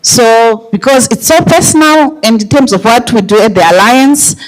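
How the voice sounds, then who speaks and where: A woman's voice: a little high, moderate pace, rough recording. A woman with a Zimbabwean accent giving informal, personal opening remarks to a live audience as an organization's chief executive. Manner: personal, addresses the audience directly.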